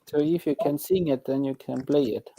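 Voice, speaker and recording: A man speaks up in an online class, his voice a little high and still hoarse from being sick. He hesitates and searches for words. Typing clicks sometimes sound behind him. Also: steady tone, moderate pace, warm tone, a little hiss or hum. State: voice still hoarse after illness. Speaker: class student.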